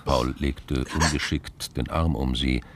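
Crime story narrator guy, super deep n gravelly, radio play, noisy background. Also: fairly even intonation, takes it slowly, exaggerated voice. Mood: measured. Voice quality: gravelly, very low. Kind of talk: scripted radio drama narration.

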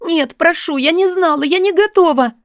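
A woman's voice: extremely high pitch, moderate pace, no echo, clean studio sound, pitched above the performer's own voice. A woman voicing a terrified young woman in an audiobook drama, crying and making frantic, desperate pleas. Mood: frantic pleading.

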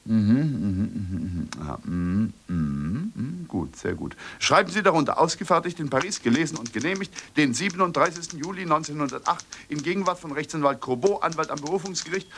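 Bossy, sharp-voiced radio director. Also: male voice, fairly high pitch, sing-song, brisk, few pauses, slight background noise. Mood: officious, dictating. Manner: commanding, pedantic, barks orders. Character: bossy, indignant French radio director, officious and short-tempered. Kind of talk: German radio comedy acting.

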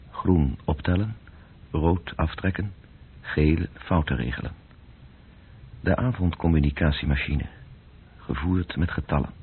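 A man playing a sober, detached storyteller in a radio play, narrating in terse, measured phrases with a husky voice. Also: low voice, heavily acted. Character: sober male storyteller, detached observer of city evening. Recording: muffled phone-like old radio recording.

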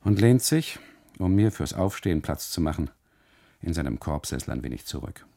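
A man performing a scripted literary radio monologue as a first-person narrator, with dramatic, deliberate phrasing. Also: very deep voice, expressive, vocal fry, dark tone. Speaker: actor narrating as a photographer husband.

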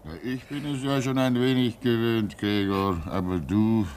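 A man's voice: mid-range voice, steady tone, measured pace, a little hiss or hum, close to an everyday voice. An actor playing a pious older man at a polar station who consoles and admonishes his companions, in a radio drama. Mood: consoling. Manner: soothing, gently admonishing.

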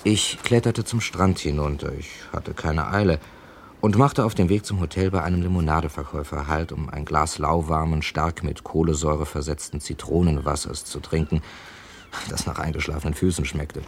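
A wry amateur drawn into a mystery, telling it in a low, dry voice. He sounds like the lead of an old German radio thriller, with faint noise on the recording. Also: steady tone, normal speed, creaky. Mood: dry, suspenseful narration. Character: male first-person crime narrator, wry, drawn into trouble. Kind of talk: 1950s-80s German radio crime play acting.

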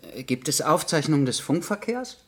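Officious, easily flustered investigator. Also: male voice, a little high, very animated, moderate pace, husky, heavily acted. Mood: flustered, inquiring. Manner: formal, hesitant, muttering to himself. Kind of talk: radio drama acting.

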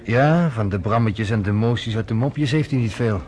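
An actor playing a wry, skeptical American friend in a radio drama, teasing and lecturing. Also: male voice, fairly low pitch, normal speed, darkish tone, close to an everyday voice.

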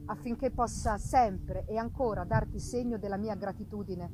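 A woman's voice: mid-range voice, normal speed, background noise, natural voice. A woman voicing an ardent male explorer writing to his sister, in an emphatic, declamatory dramatic reading. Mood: tender, solemn. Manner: declamatory, emphatic, with swelling and falling phrases. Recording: live reading over music. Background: live musical accompaniment.

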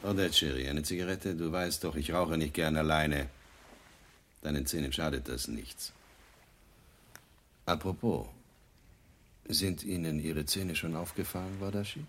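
An actor playing a menacing, cynical man in a radio play, husky, insinuating and condescending as he probes. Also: very deep voice, measured pace, long pauses, fairly bright tone, exaggerated voice. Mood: mocking, insinuating.

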